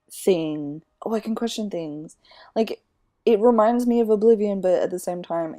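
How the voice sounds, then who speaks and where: A woman's voice: medium pitch, mellow. Chatty woman thinking aloud while gaming. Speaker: gamer. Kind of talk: casual gameplay commentary. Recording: commentary over game audio with music.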